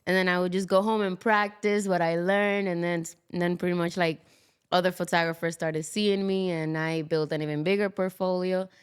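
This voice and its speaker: A young woman from the Dominican Republic, a model chatting as a podcast guest, animated and laughing often, with many fillers. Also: lowish voice, moderate pace, smooth. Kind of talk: casual interview chat. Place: South Florida.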